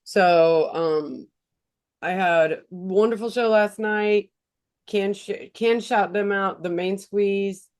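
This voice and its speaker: A woman's voice: mid-range voice, slow, clean tone. Stuffy-nosed chatty woman co-host. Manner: chatty, many ums and uhs. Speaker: podcast co-host. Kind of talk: casual chat.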